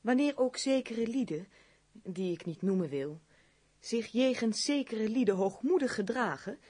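A haughty, easily piqued lady, affected and pompous. Her voice is rather high and husky, swooping theatrically through a radio drama. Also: lively intonation, measured pace, a little mellow. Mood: offended, haughty. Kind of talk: Dutch radio play acting, theatrical.

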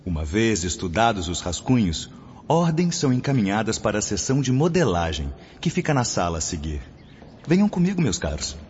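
An actor playing a calm, didactic male guide from the spirit world in a radio drama, speaking in a solemn, measured way. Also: lowish voice. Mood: instructive, courteous. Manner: solemn, instructive, measured enunciation. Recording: muffled, reverberant hall, noisy radio-drama recording.

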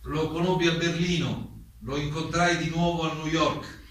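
A man playing a careful, courteous playwright giving testimony, speaking deliberately and politely in a theatrical radio drama. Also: fairly high pitch, steady tone, fairly bright tone, close to an everyday voice. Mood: careful, evasive. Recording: echoey room, distant mic, faint noise.

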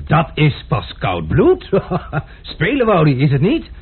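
An actor playing a worldly nobleman and swordsman who speaks in an ironic, lordly, amused way with a husky voice, heard on a tinny old radio recording. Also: on the high side, wildly swooping pitch, slow, exaggerated voice.

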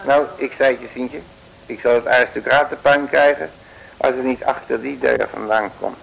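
An actor playing a boastful, bumbling male detective, blustering and shouting in a 1950s radio play. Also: mid-range voice, fairly even intonation, moderate pace, vocal fry, a little hiss or hum, low-quality recording. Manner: blustering, with made-up curses and sudden shouts.

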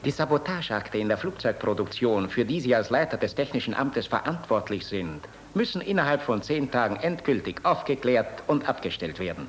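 Schauspieler als kalter, drohender Gestapo-Beamter. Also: male voice, medium pitch, big pitch swings, brisk, few pauses, lightly acted. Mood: cold, threatening. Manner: clipped, officious, coldly formal. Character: cold, menacing Nazi secret police official. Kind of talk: German radio drama acting. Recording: clearly noisy radio drama recording.

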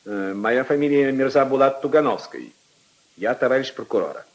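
An actor playing a haughty, indignant aristocratic prosecutor in a pompous, emphatic 1950s radio drama style. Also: male voice, medium pitch, lively intonation, normal speed, close to an everyday voice, poor audio. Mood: stiff, formal. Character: haughty, indignant aristocratic assistant prosecutor, brother-in-law of a prince. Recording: 1950s archive radio recording.

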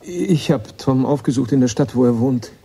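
An actor playing a polite, reserved teenage son of a rich American family in a German radio play, speaking in a restrained, matter-of-fact way. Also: male voice, medium pitch, normal speed, dark tone, husky.

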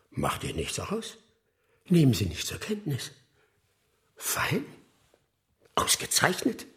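Mocking genial superior, radio drama, extremely animated pitch, slightly high, theatrical, condescending. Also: male voice. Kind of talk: German radio drama acting.

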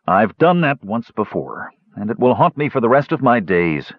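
A man's voice: on the low side, big pitch swings, normal speed, no room sound, highs cut off. A man voicing a stubborn young dwarf nephew in a fantasy audiobook, sullen, blunt and defensive. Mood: regretful. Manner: belligerent, blunt, informal.

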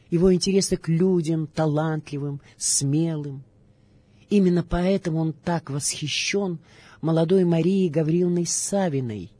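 A woman narrator reading a scripted radio documentary in a measured, solemn way. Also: sits low, mellow, slightly rough, no echo. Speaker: narrator, presenter.

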